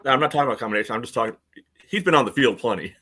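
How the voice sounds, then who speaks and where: A man's voice: medium pitch, expressive, racing, sharp tone, husky. A man co-hosting a soccer podcast, speaking in a dry, skeptical way. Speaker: podcast co-host. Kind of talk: casual soccer chat.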